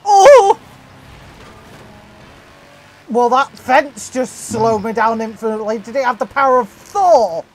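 A woman gaming with a friend over voice chat, excitable, often exclaiming and laughing. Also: fairly high pitch, normal speed, poor audio. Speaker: gamer. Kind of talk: casual gaming banter.

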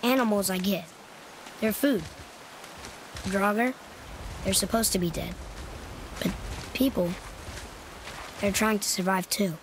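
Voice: mid-range voice, fairly even intonation, takes it slowly, pauses often, background noise, lightly acted. A young performer playing an earnest young boy in a video game, the questioning son of a warrior, with an informal, eager way of talking. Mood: troubled, questioning. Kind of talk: dramatic video game acting.

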